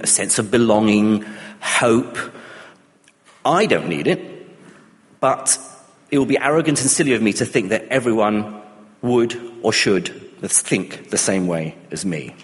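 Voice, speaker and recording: A man's voice: fairly low pitch, long reverb tail. A middle-aged man born and raised in Iraq, giving a thoughtful personal talk with frequent restarts and fillers. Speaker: speaker on humanism and atheism.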